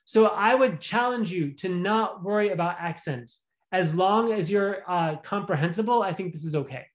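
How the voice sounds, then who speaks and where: A man's voice: sits high, expressive, normal speed. A man, a visiting professor, giving an earnest lecture and answering questions in an online seminar. He often restarts phrases and checks in with "right?".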